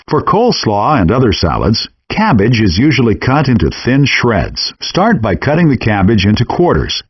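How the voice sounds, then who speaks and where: Husky male instructional narrator, lo-fi audio. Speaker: instructional narrator of a cooking game. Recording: dull, compressed low-fidelity game audio.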